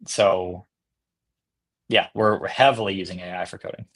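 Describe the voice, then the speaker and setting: Man, lowish pitch, tech executive guest, casual interview talk, hesitant, thinking aloud. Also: steady tone, normal speed, fairly bright tone.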